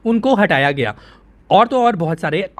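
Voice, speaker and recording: A man's voice: high-pitched, lively intonation, moderate pace. An Indian man presenting an informal news explainer, conversational, repeating phrases for emphasis and answering his own questions.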